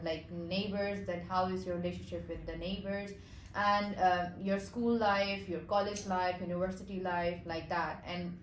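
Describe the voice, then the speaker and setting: A woman's voice: lowish voice, fairly even intonation, roomy sound, slight background noise, rough recording. A woman with a Pakistani accent answering exam questions, hesitant and full of fillers. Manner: very slow, hesitant, many fillers. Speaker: co-host.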